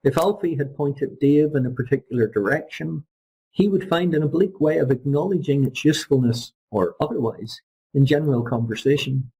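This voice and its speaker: A man reading a short story aloud as an audiobook narrator, in a husky, gravelly voice. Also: a little high, a little fast, warm tone, poor audio. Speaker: audiobook reader. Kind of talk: scripted literary narration.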